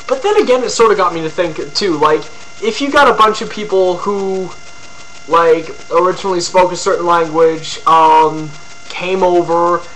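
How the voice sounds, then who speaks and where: Casual young man vlogging at home, bright tense voice, faint mains hum under a webcam mic. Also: high-pitched, poor audio. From Ontario, Canada. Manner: rambling, lots of ums and likes, crude jokes. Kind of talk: casual rambling video blog.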